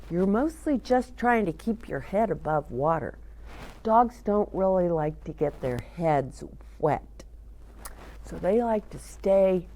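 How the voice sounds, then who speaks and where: Female children's storyteller: unhurried, very expressive, wide swings in pitch, speaking directly to little ones with questions. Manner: animated, sing-song, addresses children with questions. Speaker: children's storyteller and show host. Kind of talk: read-aloud and chat for young children.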